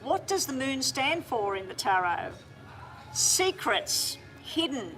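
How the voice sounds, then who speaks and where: A woman doing a live-streamed tarot reading, talking straight to viewers in a chatty, hurried way. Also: high voice, sing-song, over music, poor audio. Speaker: tarot reader.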